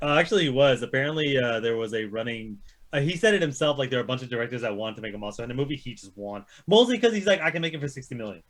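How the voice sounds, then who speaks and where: A man's voice: mid-range voice, expressive, very fast. A man co-hosting a casual argumentative chat, blunt and foul-mouthed. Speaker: co-host.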